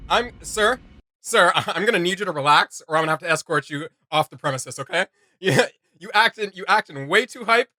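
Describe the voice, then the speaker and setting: A man hosts a reaction video in a fairly high, bright voice, recorded dry and close. He chats casually and excitably, full of slang and jokes. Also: fairly quick, no echo. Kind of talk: casual, joking reaction chat.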